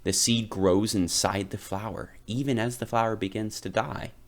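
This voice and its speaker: A man reading a children's picture book aloud, phrasing it deliberately and with expressive emphasis. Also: fairly low pitch. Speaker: read-aloud reader.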